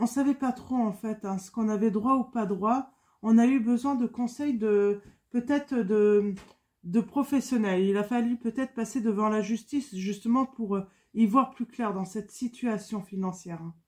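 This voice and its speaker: A woman with a head cold gives a tarot reading in a loose, wandering way. She keeps stopping for fillers and says things twice, and her voice sits a bit higher than most. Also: a little slow, dark tone. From France. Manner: hesitant, many fillers and repetitions. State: has a cold. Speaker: tarot card reader. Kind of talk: casual spoken tarot reading.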